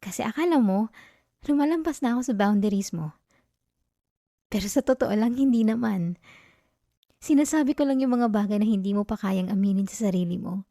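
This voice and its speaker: A woman's voice: mid-range voice, a little fast, long pauses, mellow, no room sound, clean studio sound, natural voice. A Filipino woman playing a possessive, teasing, obsessive girlfriend in an intimate ASMR roleplay, speaking straight to the listener. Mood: sweet, possessive. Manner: intimate, teasing, code-switching into English words.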